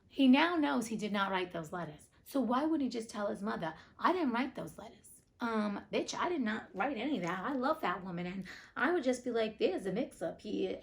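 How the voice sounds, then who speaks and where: A woman hosting a storybook reading, moving between narration and casual, outspoken, colloquial asides. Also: medium pitch. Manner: sassy, outspoken, colloquial commentary. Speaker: host reading classic tales aloud.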